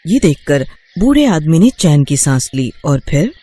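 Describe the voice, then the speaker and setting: Higher-pitched male story narrator. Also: sing-song, unhurried, dark tone, dry recording.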